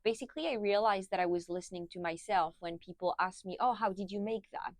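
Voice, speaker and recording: A young woman from France, a guest on a podcast, chatty and laughing often, with many pauses and restarts. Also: mid-range voice, dry recording, clean studio sound. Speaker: guest, food brand founder. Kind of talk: casual interview.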